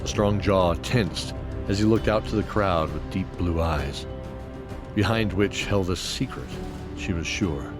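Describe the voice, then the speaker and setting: A man's voice: deep voice, a little slow. A man reading scripted fantasy storytelling narration for an audio drama. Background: music.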